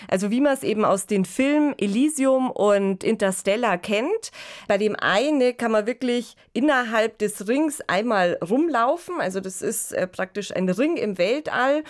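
A woman co-hosting a podcast, explaining in an informal, chatty way. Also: medium pitch. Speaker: podcast co-host.

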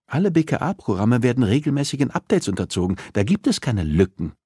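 A man's voice: medium pitch, big pitch swings, a little fast, warm tone, husky, dry recording, clean studio sound, pitched above the performer's own voice. A man narrating an audiobook, voicing a captive female homicide detective who is defensive, stubborn and strained under interrogation. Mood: defiant.

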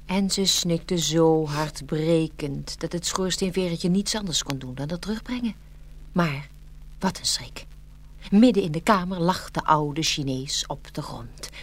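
A woman with a notably low, husky voice narrates a children's fairy tale. Her delivery is scripted and expressive, in a storyteller's style. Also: measured pace.

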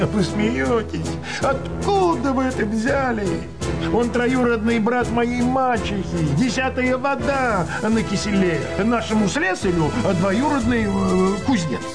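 An actor playing a resentful, sneering young nephew in a radio play. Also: male voice, very high-pitched, slow, loud music behind the voice, exaggerated voice. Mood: bitter, scornful. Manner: sneering, exasperated. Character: resentful, scornful young nephew, jilted bridegroom.

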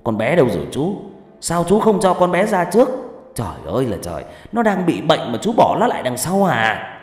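A man playing a gruff, easily alarmed village man in an audio story, exclaiming and repeating himself. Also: medium pitch, very animated, brisk, a little mellow, voice pushed up, lightly acted. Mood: angry, urgent. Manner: scolding, exclaiming, stammering repeats. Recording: echoey long reverb, music behind.

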